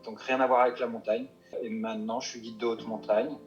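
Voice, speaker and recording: A mountain guide answering interview questions, hesitant and full of restarts and fillers. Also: male voice, medium pitch, sing-song, brisk, vocal fry, dull audio, faint music behind. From Paris suburbs.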